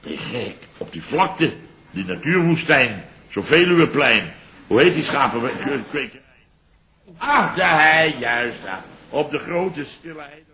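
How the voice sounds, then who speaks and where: Fields: a rambling, muddled businessman, bright voice, dull old radio sound. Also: a little high, animated, unhurried. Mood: blustering. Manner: rambling, searches for words, comic exaggeration. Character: rambling muddled middle-aged businessman, Mr Biels. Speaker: radio play actor. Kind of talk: 1960s radio play acting.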